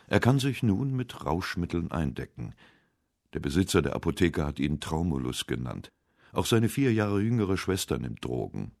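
A man narrating a scripted literary radio essay with measured, deliberate phrasing. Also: very deep voice, creaky, darkish tone, no echo, studio quality. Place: dry radio studio.

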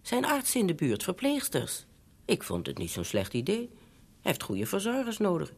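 An elderly bourgeois mother in a radio drama, fretful and meddling. Her voice is husky and creaky and swoops widely as she nags, wheedles and hesitates, anxious about appearances. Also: on the low side, huge pitch swings, moderate pace, a little mellow, heavily acted. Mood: evasive, flustered. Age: older. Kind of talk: Dutch radio drama acting.

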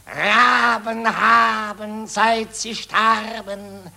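Gloating battlefield raven: high, very slow, mocking declamation for a 1940s radio play. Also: male voice, fairly even intonation, gravelly, sharp tone, exaggerated voice. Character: gloating carrion ravens feasting on war dead. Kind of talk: 1940s German radio verse acting.

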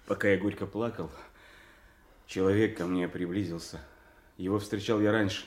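An actor playing a noble, sorrowful young avenging brother in a Shakespearean audio drama, with grave, measured declamation. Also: male voice, low voice, steady tone, echoey room, close to an everyday voice. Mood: grieving, intense. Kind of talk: classical Shakespearean stage acting for audio drama.

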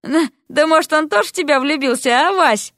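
A woman's voice: sits high, very animated, moderate pace, a bit bright, no room sound, clean studio sound, voice pushed up. A woman voicing a flippant young prince in an audio story, whiny, indignant and mocking. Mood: teasing.

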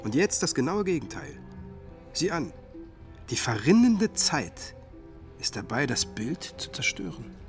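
Thoughtful companion, mid-range voice, measured and reflective, radio play with music. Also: male voice, medium pitch, sing-song. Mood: musing, ironic. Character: thoughtful, courteous listener, conversing with narrator. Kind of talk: radio drama dialogue.

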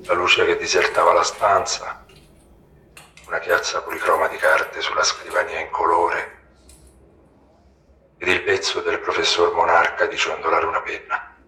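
A man's voice: deep voice, completely flat delivery, normal speed, pauses often, bright, forceful tone. A man reciting poetic prose in a literary reading style. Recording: roomy, echoing recording.

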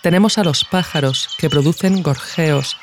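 A woman narrates a scripted essay as podcast host in a measured, literary way. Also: deep voice, background noise.

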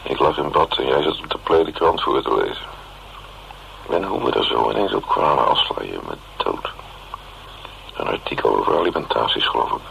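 Very deep, wry, gravelly cynical man. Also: moderate pace, a bit bright, full character voice. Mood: rueful. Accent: Netherlands Dutch. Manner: sardonic, rambling monologue. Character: wry, cynical working man musing ruefully to his sweetheart. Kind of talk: radio drama acting. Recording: dull, hissy old radio recording.

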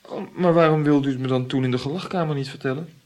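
Man acting a polite gentleman, rather high voice, curious, hesitant, questioning, radio drama dialogue. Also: fast, lightly acted. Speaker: actor in a radio play.

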